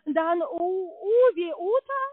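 Very high-pitched hesitant woman on the phone. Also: animated, slow, no echo. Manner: hesitant, searching for words, non-native grammar. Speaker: call centre caller presenting herself as coordinator. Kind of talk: halting phone sales conversation. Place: phone call. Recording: tinny narrow phone line.

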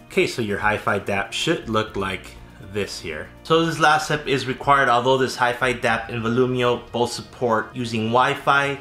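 A man's voice: mid-range voice, bright, forceful tone. A man in his thirties narrating a step-by-step tech tutorial, instructing the listener directly. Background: background music.

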